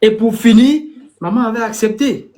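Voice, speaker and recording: A man with a high voice speaks earnestly as a guest. He repeats whole phrases to drive his points home, in a steady, insistent way. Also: normal speed. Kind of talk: interview over a call.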